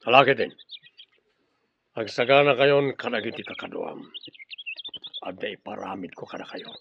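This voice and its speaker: An actor playing a ruthless, short-tempered, greedy crime boss in a radio drama, domineering and mocking. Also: male voice, lowish voice, normal speed, vocal fry. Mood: scheming. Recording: dull lo-fi audio with faint hiss.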